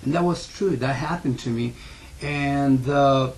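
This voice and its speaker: A man in his forties from Ecuador with a heavy Spanish accent, telling his life story in an interview, earnest and halting with many fillers and restarts. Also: medium pitch, a little mellow, rough recording. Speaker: interviewee, school owner.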